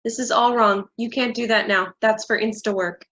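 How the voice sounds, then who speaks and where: A woman's voice: on the high side, fairly even intonation, normal speed, a bit bright, dull audio. A woman acting an angry, bossy stickler for rules in a staged play reading, scolding and insistent. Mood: indignant.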